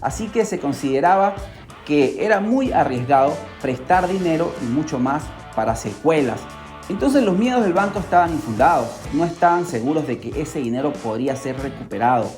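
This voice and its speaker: A man's voice: on the high side, expressive, moderate pace, a little mellow. A man hosting a podcast, telling a story casually and animatedly, with rhetorical questions and asides to the listeners. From Peru. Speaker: podcast host. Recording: music behind the voice.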